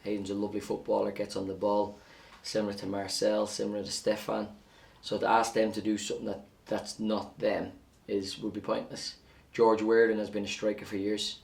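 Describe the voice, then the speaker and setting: Male football coach, low gravelly voice, matter-of-fact post-match reaction, slightly off-mic. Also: monotone, a little fast, few pauses, a little mellow, far from the mic.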